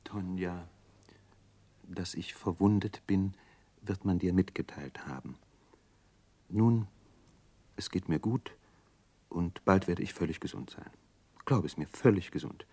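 An actor playing a weary army doctor, speaking an introspective, measured monologue in a German radio drama. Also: male voice, low voice, dark tone, slightly rough, exaggerated voice. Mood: pensive, reassuring. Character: weary, reflective army doctor recounting his war.

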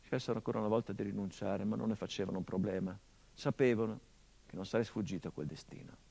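An actor playing a hardened, grieving middle-aged son, delivering a measured theatrical monologue that drops to hushed tones. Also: male voice, lowish voice, gravelly, breathy edge. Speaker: stage actor.

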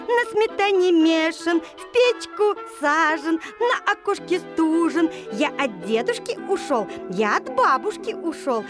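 A cheeky runaway bun from a storybook audio play, squeaking its bragging song at a slow, bouncy lilt. The voice sits extremely high and swoops up and down. Soft music plays underneath. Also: female voice, exaggerated voice. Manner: sing-song chanting. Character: small runaway bun, boastful and cheerful. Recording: music playing behind.

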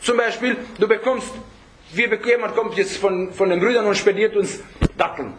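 Guy giving an informal islamic lesson, pretty high voice, keeps asking rhetorical questions, arabic phrases mixed in. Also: steady tone, moderate pace, noisy background. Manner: conversational, punctuated by rhetorical questions and check-backs; peppers talk with Arabic religious phrases. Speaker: Islamic preacher teaching a group.

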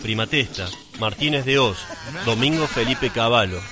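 A man from Argentina reading a scripted radio narration in a measured, solemn way, addressing the listener directly. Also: medium pitch, music in the background. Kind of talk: scripted dramatic radio narration over music.

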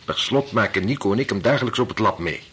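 A weary doctor husband in a Dutch radio drama. His voice is very husky, with animated intonation. He is patronising and dismissive, explaining everything away. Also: fairly low pitch, fast talker, full character voice. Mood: measured. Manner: dismissive, reasoning, sighing interjections. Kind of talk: Dutch radio play acting.

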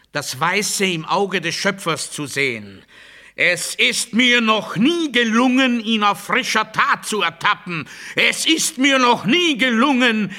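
Radio play role: pompous militaristic zealot, male, piercingly forceful, fairly high-pitched, emphatic oratorical delivery. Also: heavily acted. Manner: pompous, emphatic, swinging from hushed to strident. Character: fanatical militaristic male orator declaiming rigid dogma. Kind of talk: German radio play monologue, oratorical declamation.

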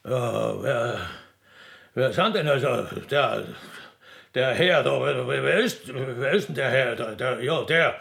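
A man's voice: medium pitch, takes it slowly, gravelly, fairly bright tone. A man voicing a stammering rustic railway keeper with Austrian dialect in a radio play. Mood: flustered. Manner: stammering, repeating words.

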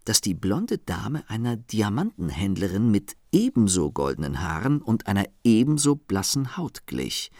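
Ein Schauspieler spielt in einem Hörspiel einen eleganten, spöttischen Meisterdieb. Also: male voice, on the low side, dry recording, clean studio sound, full character voice. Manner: amused, teasing letter-reading tone. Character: suave gentleman thief, mocking and playful. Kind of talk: radio play acting.

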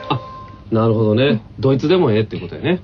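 A man's voice: medium pitch, sing-song, quick pace. A man co-hosting a radio show, bantering playfully and joking in casual slang. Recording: dull lo-fi radio recording with music. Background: music.

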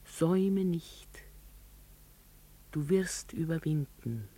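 A woman's voice: low-pitched, animated, drawn-out words, mellow, slightly rough, exaggerated voice. An actress playing a devout, pleading mother in a literary radio play, speaking solemnly and fervently like an incantation. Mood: solemn, hushed. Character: devout, pleading mother of an animal-keeping family.

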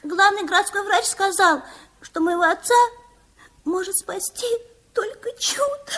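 A woman's voice: very high-pitched, measured pace, pushed and bright, heavily acted. A woman playing an earnest, curious little girl in a children's radio play. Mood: pleading. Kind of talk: Soviet radio play acting.

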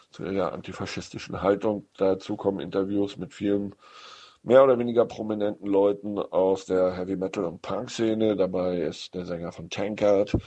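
A man reviewing films on the radio in an unscripted, halting way, searching for words. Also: low voice, warm tone. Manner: halting, hesitant delivery with searching pauses. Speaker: film critic on a radio show. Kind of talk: unscripted radio film recommendations.

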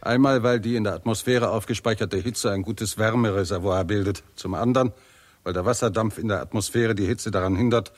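An actor playing a weary, irritable and pessimistic scientist in a 1960s German radio drama, husky and articulate, with a sardonic, argumentative manner. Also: male voice, fairly low pitch, fairly quick, lightly acted. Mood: grave.

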